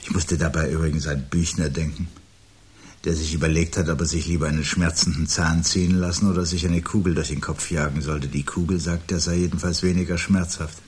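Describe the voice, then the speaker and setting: Deep, husky, brooding man. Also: flat delivery, darkish tone. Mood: resigned, ironic. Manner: measured, dry, articulate, formal. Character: brooding, dryly ironic man awaiting looming catastrophe at home. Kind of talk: literary radio play dialogue.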